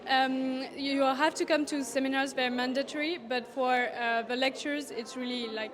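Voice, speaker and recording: High-voiced young French woman. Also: fairly even intonation, moderate pace, crowd noise. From France. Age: 20s. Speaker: international master's student interviewee. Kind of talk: informal interview answers.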